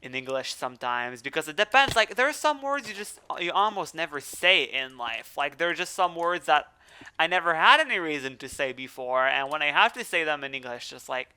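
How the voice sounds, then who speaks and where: A man speaking English with a French accent hosts a relaxed gaming podcast. His voice is very bright and smooth, and he rambles, restarting sentences as he goes. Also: on the high side, brisk. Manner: rambling, self-correcting, chatty. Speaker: let's play host. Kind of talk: casual podcast chat while gaming.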